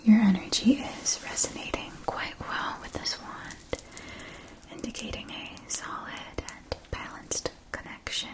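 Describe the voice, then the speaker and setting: Woman playing a softly whispering, gentle witch-school wand seller. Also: on the high side, fairly even intonation, close to an everyday voice, rough recording. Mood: soothing, instructive. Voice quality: breathy whisper. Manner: slow, soothing, deliberate, with hushed repetitions. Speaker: ASMR performer. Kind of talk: whispered ASMR roleplay, scripted.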